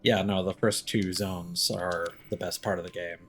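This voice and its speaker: A man's voice: deep voice, steady tone, normal speed, a bit bright, soft background music. A man giving casual live commentary as a game streamer, rambling and musing aloud.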